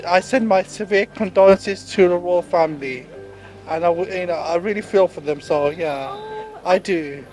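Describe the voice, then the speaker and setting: A man in a street interview, speaking in a rushed, stumbling way. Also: high-pitched, low-quality recording. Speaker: member of the public.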